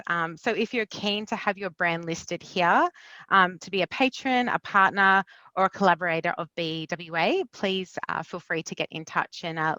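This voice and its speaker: Bright, dry-sounding woman introducing a webinar. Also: lowish voice, dry recording. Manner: chatty, many ums and uhs. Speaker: event host, organisation representative.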